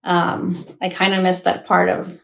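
Casting: low, level-voiced woman from Mexico, slow and gravelly. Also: completely flat delivery. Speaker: insurance staff member. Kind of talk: conversational interview answers.

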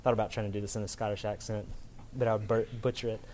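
A man's voice: fairly low pitch, flat delivery, rapid-fire, darkish tone. Conversational male pastor preaching over background noise. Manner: casual, colloquial, checks in with "right?". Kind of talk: sermon, conversational and informal.

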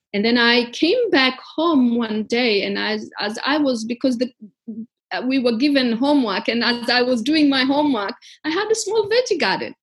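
A woman's voice: fairly high pitch, slightly rough. A woman from Africa, founder of a community garden, telling her story earnestly in an interview, with many fillers and restarts.

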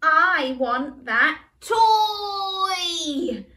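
A woman reading a children's book puts on a small, teary boy who wails and shrieks for what he wants. His voice jumps high and loud, bright and piercing, with a lively swoop. The room around him rings with echo. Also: drawn-out words, exaggerated voice. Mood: shrieking tantrum. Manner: shrieking through tears. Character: whiny crying little boy.

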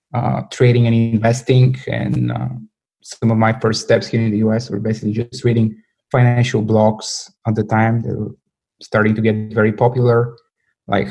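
Male trader, interview guest, flat delivery, warm tone, halting. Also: mid-range voice, low-quality recording. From Bulgaria. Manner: hesitant, many fillers and restarts. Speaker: guest, portfolio manager. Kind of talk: interview answers.

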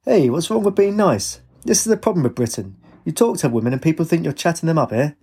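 A man's voice: fairly high pitch, expressive, fast talker, warm tone, husky, voice pushed up. A man voicing a boisterous, big-hearted middle-aged father in a story, teasing and forthright. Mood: jovial, expansive.

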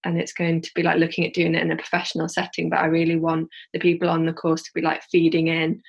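A woman's voice: deep voice, completely flat delivery, fast talker, gravelly, slightly rough, muffled recording. A woman with a Yorkshire accent, talking through her plans as an interview guest, rambling and restarting as she thinks aloud. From Yorkshire, England. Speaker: guest, social enterprise founder. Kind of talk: casual interview chat.

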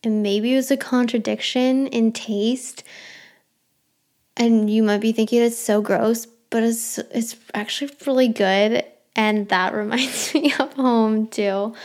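A woman's voice: fairly high pitch, a little mellow. A young adult woman hosting her own podcast, chatting casually and rambling through listener questions with a self-deprecating touch. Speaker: podcast host.

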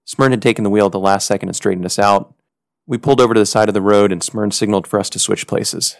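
A man's voice: fairly low pitch, fairly even intonation, vocal fry, no room sound, studio quality. A man hosting a podcast, telling a personal story in earnest, reflective scripted narration. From United States. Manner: earnest, reflective, measured delivery. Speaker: podcast host.

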